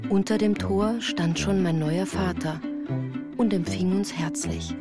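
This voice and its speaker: A woman's voice: fairly low pitch, fairly even intonation, measured pace. A woman reading scripted literary narration as the narrator of a radio play. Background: music.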